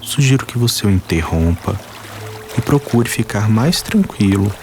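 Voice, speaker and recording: A man guiding a meditation, speaking calmly and slowly in short phrases with long pauses. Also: fairly low pitch. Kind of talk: guided meditation. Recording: voice-over with music and water sounds. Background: soft music, rain and running water.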